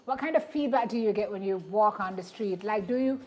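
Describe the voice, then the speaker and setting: A woman's voice: mid-range voice, brisk, a little mellow. A woman hosting a morning TV show, playful and teasing with her guest. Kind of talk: casual morning TV interview. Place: TV studio.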